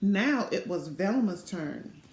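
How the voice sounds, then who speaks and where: Woman, children's read-aloud, animated highish pitch, deliberate emphasis, echoey room. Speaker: literacy program co-chair, story reader.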